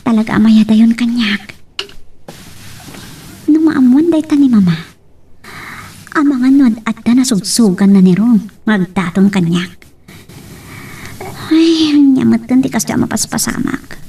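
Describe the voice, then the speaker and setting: A woman's voice: fairly high pitch, lively intonation, a little slow, long pauses, mellow, breathy edge, soft background music, exaggerated voice. A young woman with a Filipino accent playing a lovestruck, headstrong daughter in a radio-style drama. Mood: pensive resolve. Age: young adult. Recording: distant microphone.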